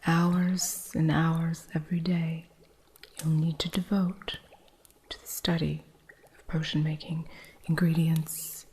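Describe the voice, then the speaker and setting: A woman voicing a stern, impatient witch who runs a curio shop, in a soft whispered ASMR roleplay with a strict, haughty manner. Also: sits low, slightly rough, heavily acted. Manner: strict, haughty, slow and deliberate.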